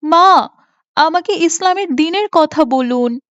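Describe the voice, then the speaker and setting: A woman's voice: extremely high pitch, lively intonation, takes it slowly, a bit bright, dry recording, heavily acted. A woman voicing an innocent, earnest little girl in a cartoon, pious and insistent in a childlike way. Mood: curious. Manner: childlike, insistent, asking questions of her mother. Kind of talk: Islamic moral cartoon voice acting. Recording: muffled, with music behind.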